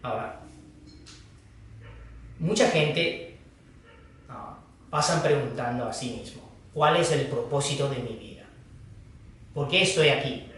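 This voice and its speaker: A man who is a Buddhist teacher, giving a dharma talk in a slow, halting manner with pauses and fillers. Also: medium pitch, a bit bright, slight background noise. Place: hall of a foundation. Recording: echoey room, distant mic.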